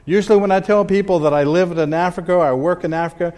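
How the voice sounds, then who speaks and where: A middle-aged man giving a conversational missionary slide talk to a church audience, pausing and restarting as he goes. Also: high voice, fairly even intonation, darkish tone. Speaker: missionary speaker. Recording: long hall reverb.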